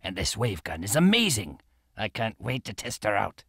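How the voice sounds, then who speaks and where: An actor playing a cocky, wisecracking pirate sidekick in a video game cutscene. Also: male voice, lowish voice, big pitch swings, normal speed, a bit bright, breathy edge, dry recording, studio quality, exaggerated voice. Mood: eager.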